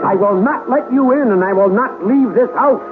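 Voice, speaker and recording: An actor playing a resolute, pleading ghost hunter, earnest and insistent, in an old radio drama. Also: male voice, very high voice, moderate pace. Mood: defiant pleading. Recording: tinny old radio, loud music.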